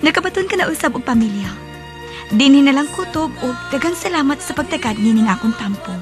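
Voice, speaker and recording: A woman acting in a radio drama as a soft-spoken, wistful young woman telling her life story. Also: high-pitched, lively intonation, fairly quick, over music. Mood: tender.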